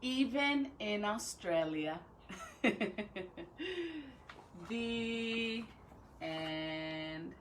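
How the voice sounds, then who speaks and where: A woman teacher reading a picture book aloud to children in an expressive, deliberate way, with chatty asides to her listeners. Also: mid-range voice, a little hiss or hum. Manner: animated, deliberate, dramatic phrasing with drawn-out words.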